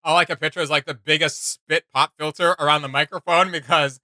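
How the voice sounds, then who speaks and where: Male reaction host: very bright, slightly high voice, dry close sound, joking rambling chat. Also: fairly quick, dry recording. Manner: giggly, animated, rambling. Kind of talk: casual chat, joking commentary.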